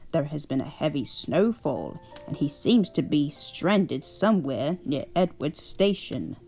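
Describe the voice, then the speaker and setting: A woman voicing a stern, portly male railway boss in a gruff, formal, commanding tone. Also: low voice, animated, a little slow, warm tone, soft background music, full character voice.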